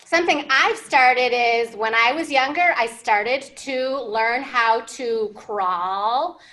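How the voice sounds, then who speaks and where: High-voiced American woman leading children's storytime. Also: slow, bright, forceful tone. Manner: encouraging, simple teacherly phrasing with frequent questions to children. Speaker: library children's storytime host. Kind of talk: interactive storytime lesson for young English learners. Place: indoor library room, seated at a whiteboard.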